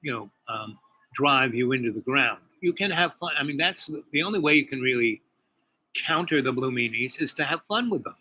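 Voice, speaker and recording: A man's voice: medium pitch, lively intonation, moderate pace, bright, forceful tone, low-quality recording. A man hosting a casual spiritual chat, rambling with many fillers and restarts. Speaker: podcast host.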